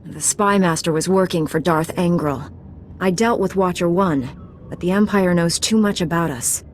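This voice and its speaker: An actress playing a steadfast, principled Jedi knight in a video game, speaking in a firm, earnest, assured way. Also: female voice, lowish voice, normal speed, a little hiss or hum, natural voice.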